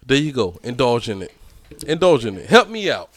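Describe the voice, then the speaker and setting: Loud laughing man hosting a podcast. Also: medium pitch, sing-song, a little fast, a crowd in the background. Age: 30s. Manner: loud, laughing, profane banter. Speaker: podcast host. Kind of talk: casual podcast chat.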